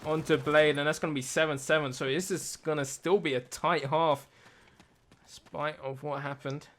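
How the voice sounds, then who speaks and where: A man commentating a competitive shooter match play by play, tired and a little raspy late at night. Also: on the high side, flat delivery, moderate pace, fairly bright tone. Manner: self-deprecating, talks through tech trouble. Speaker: esports caster.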